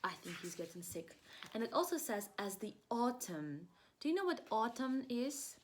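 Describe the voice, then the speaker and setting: A woman preschool teacher reading a picture book aloud, encouraging the children and asking them questions with pauses for answers. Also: a little high, very animated, slight background noise.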